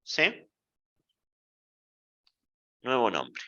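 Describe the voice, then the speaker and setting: A male university lecturer talks through math slowly, in a playful manner, pausing to check in with the class. His voice is bright and rises and falls a lot. The classroom recording sounds dull and lo-fi. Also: mid-range voice, wildly swooping pitch.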